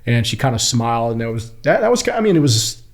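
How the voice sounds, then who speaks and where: Guy guest on a podcast telling stories, medium pitch, loose slangy talk, does the dialogue bits. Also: fast. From Kuwait. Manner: animated retelling with acted-out quotes, informal slangy phrasing. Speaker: podcast guest, body-language instructor and former US Marine. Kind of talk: casual storytelling in an interview.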